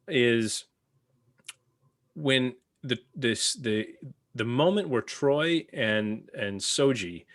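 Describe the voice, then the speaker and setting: A man's voice: mid-range voice, fairly bright tone, dry recording, clean studio sound. A man speaking earnestly and with opinion in a casual panel discussion, as a podcast panelist.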